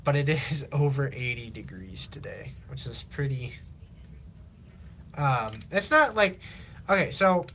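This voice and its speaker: A teenage man from Illinois, chatting casually on a live stream while he builds, rambling with lots of fillers, his voice slightly raspy from long talking. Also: mid-range voice, animated, fairly bright tone, a little hiss or hum. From Illinois, USA. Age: teens. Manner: rambling, halting, many fillers and restarts. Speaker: livestreamer.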